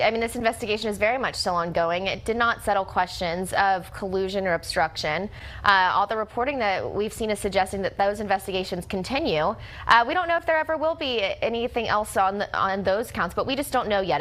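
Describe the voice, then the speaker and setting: A woman's voice: medium pitch, a little fast, a bit bright, poor audio. American woman reporter, conversational analysis. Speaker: reporter, news guest. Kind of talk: live news analysis, conversational.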